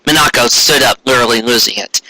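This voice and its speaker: A man's voice: medium pitch, fast talker, bright, forceful tone, no echo, rough recording. A man narrating fan fiction as a dramatic reading, now and then stumbling over the words.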